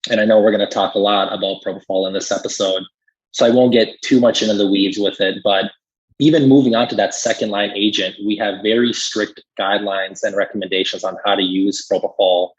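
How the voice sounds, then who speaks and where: A man's voice: on the low side, steady tone, fairly quick, slightly rough. A man explaining things conversationally as a pharmacist guest in an interview, emphatic when he agrees, on slightly muffled audio. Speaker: critical care pharmacist guest.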